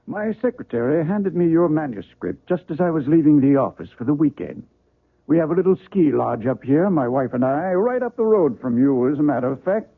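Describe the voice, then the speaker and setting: An actor playing a courteous, persuasive middle-aged book publisher in an old-time radio drama, with polite, coaxing manners. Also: male voice, on the high side, animated, fairly quick, gravelly. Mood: affable. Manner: polite, hesitant, fatherly coaxing. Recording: muffled, tinny old radio recording.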